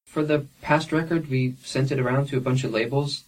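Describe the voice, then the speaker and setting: A man's voice: mid-range voice, fairly even intonation, a little fast, distant mic, poor audio. A young man and independent musician answering interview questions frankly, with plenty of fillers and restarts.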